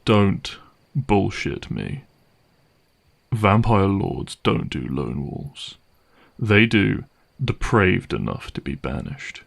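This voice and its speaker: A man playing a cynical, menacing vampire hunter in an audio roleplay, speaking deliberately and sardonically with a gravelly voice. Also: low voice, big pitch swings, exaggerated voice. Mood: probing, threatening. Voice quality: gravelly, creaky.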